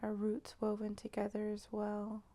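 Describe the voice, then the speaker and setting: A woman leading an improvised guided meditation, speaking softly and haltingly with pauses, often close to a whisper. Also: mid-range voice, monotone. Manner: slow, hushed, halting delivery with pauses.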